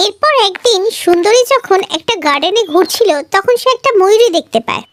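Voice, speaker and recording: Very high-pitched woman storyteller. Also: expressive, fairly quick, no room sound. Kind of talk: scripted fairy-tale cartoon narration.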